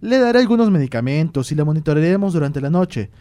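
Man doing a calm doctor, pitched up. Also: fast, dry recording. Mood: reassuring. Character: calm, authoritative village doctor, reassuring. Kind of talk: amateur radio drama acting.

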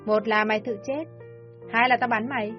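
A woman's voice: on the high side, steady tone, a little fast, lightly acted. A woman voicing a cruel, jealous woman kidnapper who speaks harshly and with contempt. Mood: menacing. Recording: dull lo-fi audio with soft music.

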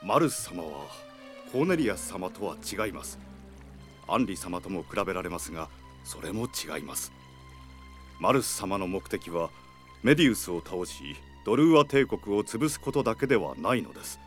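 An actor playing a loyal older knight, formal, earnest and firm, in a radio drama. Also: male voice, low-pitched, big pitch swings, moderate pace, soft background music. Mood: earnest, resolute. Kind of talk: Japanese radio drama acting.

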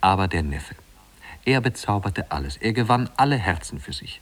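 A man's voice: low voice, animated, moderate pace, breathy edge. A man narrating a literary tale in a 1950s German radio play, composed and articulate.

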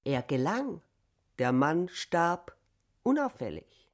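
A woman's voice: lowish voice, sing-song, slow, exaggerated voice. A woman narrating a literary radio drama in a scripted storytelling style.

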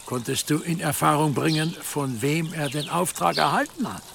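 An actor playing a lordly, musing medieval dignitary with a creaky voice in a German audio drama. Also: male voice, a little high, moderate pace, a bit bright, a little hiss or hum. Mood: questioning, musing.

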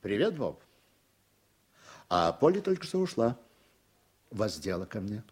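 An actor playing a straightforward, ambitious judge in a radio drama, measured and authoritative, with a husky voice. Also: male voice, lowish voice, very animated, heavily acted. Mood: frank, deliberate. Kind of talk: Soviet-era radio drama acting.

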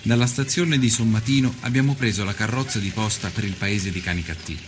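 An actor playing a measured, inquiring journalist in scripted documentary narration. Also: male voice, on the low side, fairly even intonation, noisy background, close to an everyday voice.

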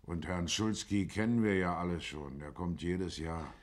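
An actor playing a plain-spoken small-town neighbour in a German radio drama, measured and deferential in manner. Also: male voice, low voice, lightly acted.

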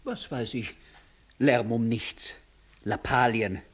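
An actor playing a dry, evasive village court clerk in a 1960s radio comedy, husky and dismissive. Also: male voice, medium pitch, fairly even intonation, slow pace. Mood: feigned indifference. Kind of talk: 1960s German-language radio play, classical comedy acting.

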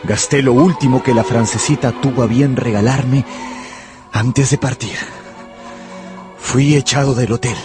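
Man playing obsessive young gambler, radio drama, medium pitch, dramatic, confessional, music underneath. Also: steady tone, a little slow, long pauses, close to an everyday voice. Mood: resigned, wistful. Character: obsessive, penniless young gambler recounting his downfall. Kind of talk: first-person radio drama narration.

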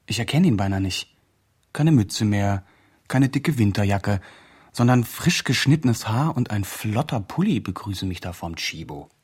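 Earnest online charity promoter, radio drama. Lively swings in pitch, slightly rough voice, emphatic and self-justifying. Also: male voice. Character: earnest male promoter presenting a homeless man's online campaign. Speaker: presenter of an online fundraising campaign. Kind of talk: scripted radio drama, mock video-blog narration.

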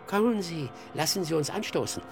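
An actor playing a mocking, morbid, cynical ship passenger in a radio drama, sneering and theatrical with a creaky voice. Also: male voice, a little high, animated, fairly quick, soft background music. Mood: gloating.

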